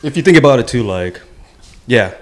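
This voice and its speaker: Male co-host from the US West Coast, lively intonation, casual rambling slang, agreeable. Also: mid-range voice, fairly quick. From West Coast of the United States. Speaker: podcast co-host. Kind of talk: casual chat.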